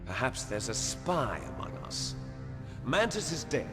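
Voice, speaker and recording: A man's voice: mid-range voice, very animated, a little slow. An actor playing an imperious, menacing male terrorist commander in a video game, with a theatrical, taunting delivery. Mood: stern, commanding. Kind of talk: dramatic video game voice acting. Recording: long hall-like reverb. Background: music.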